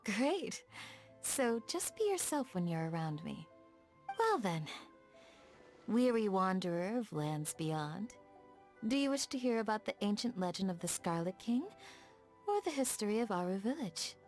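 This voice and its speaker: A woman voicing a gentle, dutiful young desert village guardian in a video game, speaking in a soft, measured, courteous way. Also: mid-range voice, very animated, soft background music. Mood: calm, welcoming. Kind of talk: scripted game dialogue, storytelling.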